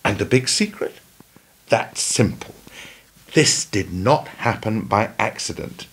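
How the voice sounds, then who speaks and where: An actor playing a principled, defiant former prime minister in a radio play, speaking in an earnest, oratorical way with a husky voice. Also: male voice, fairly low pitch, expressive, slow, full character voice. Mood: earnest, grave.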